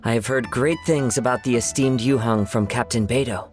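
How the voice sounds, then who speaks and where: A man playing a gentle, poetic young swordsman in a video game, speaking in a courteous, measured, formal way. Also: medium pitch, steady tone, close to an everyday voice. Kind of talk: video game character acting. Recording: music behind.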